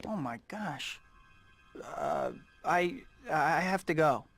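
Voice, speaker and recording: A young American superhero from a video game, a little high in pitch. He is glib and awkward, quick with quips. Music plays behind the voice. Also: lively intonation, vocal fry, a bit bright, full character voice. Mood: awkward, apologetic. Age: young adult. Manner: glib, quipping. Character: wisecracking, awkward young male superhero and photographer.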